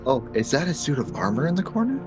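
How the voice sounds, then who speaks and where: A man speaks at an average pitch as a tabletop game player. He casually narrates roleplay in the third person. Faint music sits behind his voice. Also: sing-song, quick pace.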